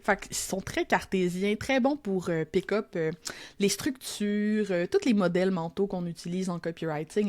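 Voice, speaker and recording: A woman's voice: mid-range voice, darkish tone. A young woman with a Québécois French accent, a podcast guest and copywriting teacher, talking casually and reflectively with many fillers and restarts. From Quebec. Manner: reflective, self-deprecating, frequent fillers and restarts. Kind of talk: casual conversational interview.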